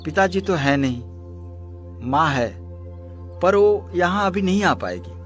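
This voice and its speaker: A man's voice: high-pitched, lively intonation, slow pace, a bit bright, soft background music, exaggerated voice. A man playing an earnest, resolute young engineer and devoted suitor in a moral story drama. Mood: respectful, pleading. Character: earnest, lovestruck young male engineer, firm-minded son. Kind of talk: Hindi moral story voice acting.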